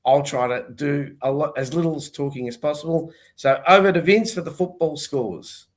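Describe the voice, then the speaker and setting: A man's voice: on the high side, normal speed. Older man, nasal from a cold. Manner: jokey, chatty. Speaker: host. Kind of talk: casual panel chat.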